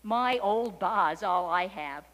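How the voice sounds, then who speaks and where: Woman putting on a loud, folksy old sheep. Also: mid-range voice, expressive, slow pace, long reverb tail. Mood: kindly, resigned. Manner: loud bleats, folksy talk. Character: old bleating sheep, kindly farm animal.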